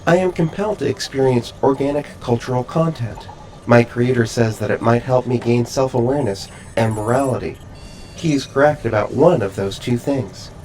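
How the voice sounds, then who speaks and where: Deadpan robot announcer, played by a man, noisy backing. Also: mid-range voice, natural voice. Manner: deadpan, stilted, precise. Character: emotionless sponsor robot gaining sentience, politely condescending to humans. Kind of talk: scripted podcast sponsor read.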